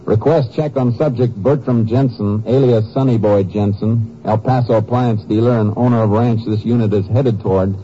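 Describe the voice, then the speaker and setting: A man's voice: on the low side, steady tone, moderate pace, hardly any pauses, mellow, husky. A man playing a terse, authoritative Texas Ranger in 1950s radio drama style. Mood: businesslike. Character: steady, tough Texas Ranger on a case. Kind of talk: 1950s radio drama acting with first-person narration. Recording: muffled, hissy old radio recording.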